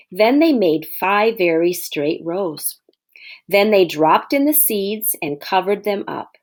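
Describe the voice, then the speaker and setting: Casting: female elementary teacher for storybook read-aloud, unhurried, lively intonation, instructive. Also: medium pitch. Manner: expressive, instructive, addresses children directly.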